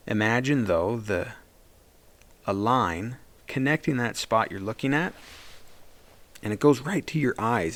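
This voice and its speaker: A man leading a guided meditation as a therapist, speaking in a gentle, soothing and reassuring way. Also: medium pitch.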